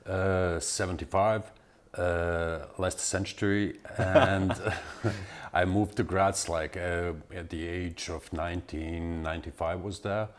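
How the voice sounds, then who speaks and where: A man in his forties from Serbia with a Serbian accent, answering interview questions in halting speech full of fillers and restarts. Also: deep voice, flat delivery. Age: 40s. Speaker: guest musician.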